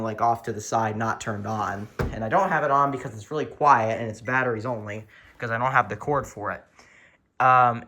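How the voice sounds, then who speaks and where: A man with a bright, forward voice talks through a casual hobby show-and-tell. He rambles and corrects himself as he goes, filming handheld in a room at home. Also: mid-range voice. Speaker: hobbyist video host. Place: small furnished room at home, indoors. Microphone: handheld camera or phone, behind the lens.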